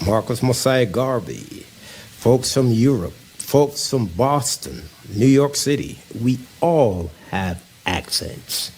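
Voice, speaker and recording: A man on a town council answering public comment in a measured, formal way. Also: medium pitch, animated, darkish tone. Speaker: council member. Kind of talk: council rebuttal. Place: council meeting chamber.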